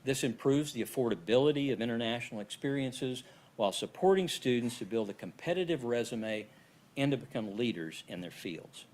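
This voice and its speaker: A man's voice: mid-range voice, brisk. Man reading a prepared college presentation. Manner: steady, scripted delivery.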